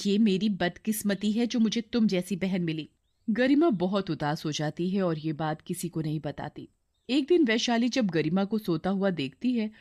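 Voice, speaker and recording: A woman's voice: lowish voice, darkish tone. A woman reading scripted narration as the storyteller of a moral tale.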